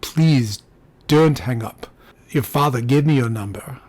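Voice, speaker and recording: Man doing a nervous young Frenchman, husky. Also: mid-range voice, measured pace, darkish tone, dry recording. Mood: pleading, nervous. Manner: hesitant, formal phrasing with stumbles. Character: nervous, polite young Frenchman in his twenties.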